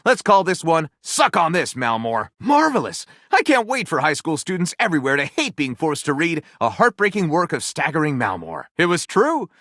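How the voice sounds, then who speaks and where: A grandiose male storyteller for a video game, flirty and wry. His delivery swoops dramatically across a wide range, with a bright, crisp edge. The sound is close and dry, and he narrates like a self-important author tossing in dry asides. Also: fairly high pitch, wildly swooping pitch, moderate pace, hardly any pauses, dry recording, exaggerated voice. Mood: theatrical, sardonic. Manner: pompous, theatrical book narration with wry asides.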